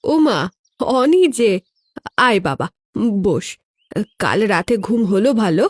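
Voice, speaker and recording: A woman playing a motherly, anxious middle-aged village aunt in an animated story, fussing and hesitant. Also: medium pitch, takes it slowly, slightly rough, full character voice. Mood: welcoming. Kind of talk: animated audio story dialogue. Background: music.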